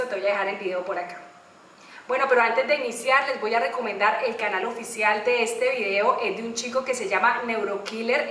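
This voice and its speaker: A woman's voice: on the high side, pushed and bright, slight background noise. A young adult woman from Colombia with a Colombian accent, chatting casually to camera as a reaction video host. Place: small indoor room. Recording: echoey, distant mic, faint music.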